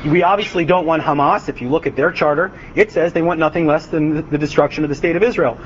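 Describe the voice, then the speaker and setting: A man's voice: fairly high pitch, fast talker. A young adult man giving a lecture as an author, speaking with frequent hesitations and restarts. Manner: hesitant, many uhs and restarts. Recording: dull lo-fi recording, faint noise.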